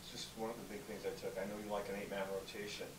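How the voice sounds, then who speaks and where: Low, fast male reporter, off-mic. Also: little pitch movement. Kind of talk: casual press conference questions. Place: indoor press conference room. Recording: distant, echoey, noisy room.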